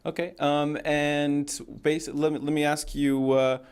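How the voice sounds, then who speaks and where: A man's voice: a little high, flat delivery, unhurried, darkish tone, clean tone. Hesitant male interview host. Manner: hesitant, many "um" and "uh" with restarts. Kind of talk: casual interview.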